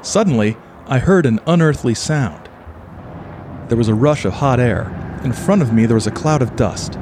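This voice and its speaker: A man's voice: mid-range voice, lively intonation, normal speed, background noise, lightly acted. A man playing an earnest, naive, slightly hesitant motel UFO believer in an audio play. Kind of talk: audio play acting and first-person narration.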